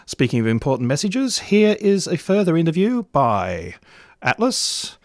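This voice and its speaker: A man presents on radio in a brisk, upbeat way, his pitch moving freely up and down. His voice sounds close and dry, with no room around it. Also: huge pitch swings, no room sound. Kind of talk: radio segue introduction.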